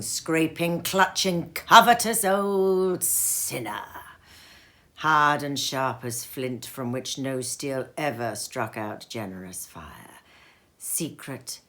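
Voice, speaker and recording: A middle-aged woman reading a classic novel aloud at home in an expressive, theatrical way with playful asides. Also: rumbling low. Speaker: reader. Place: cozy sitting room at home. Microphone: seated in a chair facing the camera.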